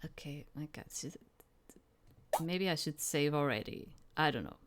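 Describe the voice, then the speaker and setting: Low-voiced woman rambling through a game. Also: expressive, slight background noise. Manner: rambling, halting, repeats herself. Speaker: streamer playing a visual novel demo. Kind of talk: casual playthrough commentary, reading game text aloud.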